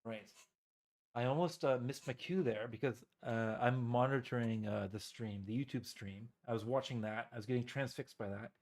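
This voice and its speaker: A man's voice: medium pitch, normal speed, darkish tone. Stuffy man rambling through a cold. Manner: rambling, self-deprecating, many fillers. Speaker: co-host. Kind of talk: casual live-stream chat.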